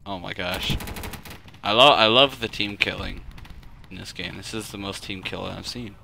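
A young man giving joking, excitable let's play commentary while playing a shooter game. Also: low-pitched, moderate pace, gravelly, a little hiss or hum. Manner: goofy, joking, excitable outbursts. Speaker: gaming YouTuber.

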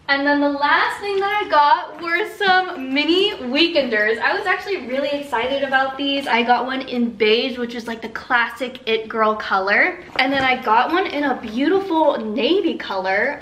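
Woman in her twenties, very bright voice, gushing and emphatic, casual vlog chat, some background noise. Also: high voice, lively intonation, moderate pace, few pauses. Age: 20s. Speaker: vlogger. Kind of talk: casual chatty haul vlog to camera. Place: living room at home. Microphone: camera a short way in front of her.

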